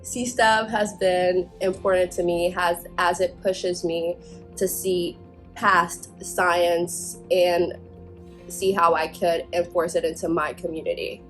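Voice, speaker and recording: A woman's voice: lowish voice, flat delivery, slow pace, faint music behind. A young woman student from Haiti, talking earnestly and reflectively about her research. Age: young adult.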